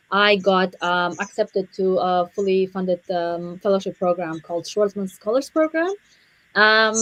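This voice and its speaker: A woman, telling her personal story as a guest speaker in a halting way, often repeating words. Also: mid-range voice, fairly bright tone, no room sound, poor audio. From Afghanistan and Central Asia. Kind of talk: personal story in a panel interview.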